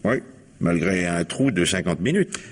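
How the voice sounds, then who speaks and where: An actor playing a wily, authoritative police commissioner in a French radio mystery play, insistent and impatient, with colloquial probing. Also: male voice, low voice, lively intonation, moderate pace. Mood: pensive.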